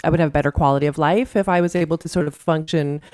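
A woman's voice: sits low, steady tone, very fast, darkish tone, no room sound. A middle-aged woman with a Canadian accent, a podcast guest chatting casually and joking over an internet call. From Ottawa, Canada. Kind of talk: casual chat.

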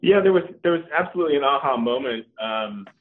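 A middle-aged man, a company co-founder, talking casually in an interview with a relaxed manner and many ums and restarts. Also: medium pitch, fast talker. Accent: Californian. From Norway. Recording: tinny phone-like line.

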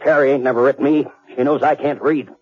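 An actor playing a jealous, unschooled cowhand husband in a 1950s radio western, speaking in a terse, rustic way. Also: male voice, mid-range voice, steady tone, quick pace, breathy edge, close to an everyday voice. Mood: brooding, insistent. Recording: muffled 1950s radio recording.

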